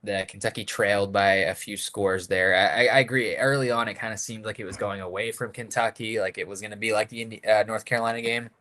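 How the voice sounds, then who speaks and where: Low-voiced podcast co-host. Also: male voice, fairly even intonation, fast, few pauses, sharp tone. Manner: conversational, storytelling. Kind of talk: casual sports chat.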